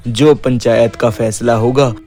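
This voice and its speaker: A reasonable, conciliatory village headman voiced by a man in a cartoon tale. He speaks politely in a middling, very even voice that barely rises or falls, with music underneath. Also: medium pitch, unhurried, close to an everyday voice. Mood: reasoning. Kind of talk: cartoon story acting. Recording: background music.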